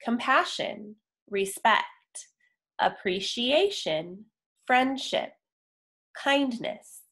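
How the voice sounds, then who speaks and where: A young adult woman reading a story aloud for young children, enunciating deliberately with pauses between words. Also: fairly high pitch, lively intonation, a bit bright. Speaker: children's picture book reader. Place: indoor room, on a video call.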